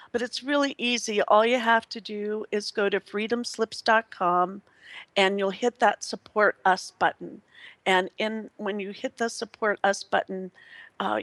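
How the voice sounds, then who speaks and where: A woman hosts a radio show in a chatty, effusive way. Her voice sits at a medium pitch for a woman and is recorded close and dry. She talks casually and off the cuff, meandering as she goes. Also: a bit bright, no echo. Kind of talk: casual unscripted radio talk. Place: live internet radio broadcast.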